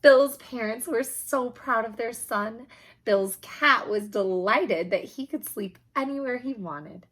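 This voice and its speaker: A woman reading a children's picture book aloud in an expressive, emphatic storytelling manner, with a slightly husky voice. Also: fairly high pitch, slow.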